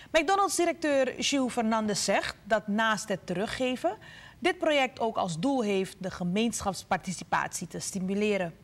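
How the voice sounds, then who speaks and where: A woman from Suriname reading the news in a measured, formal way from a studio. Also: medium pitch. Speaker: news anchor. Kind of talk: scripted news narration.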